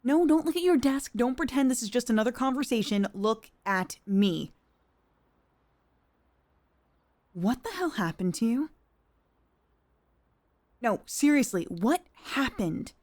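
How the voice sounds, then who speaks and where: A woman playing a distressed young employee cornered by her boss, speaking in a halting, sighing, hurt way in an intimate dramatic roleplay monologue. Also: on the high side, lively intonation, darkish tone, close to an everyday voice. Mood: indignant disbelief. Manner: halting, sighing, emotionally wounded delivery.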